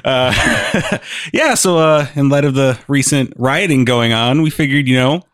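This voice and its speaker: A man's voice: mid-range voice, measured pace, a bit bright, dry recording. Chatty man, laughing podcast host, dry sound. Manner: chatty, laughs often, restarts sentences. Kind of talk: casual chat.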